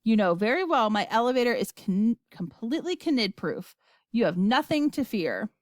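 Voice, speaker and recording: Woman playing an assured, eccentric gentleman inventor, lively intonation. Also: on the high side, normal speed, no room sound, studio quality. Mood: stern, assured. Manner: stern, formal, assured. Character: unflappable, eccentric gentleman inventor, brisk and confident.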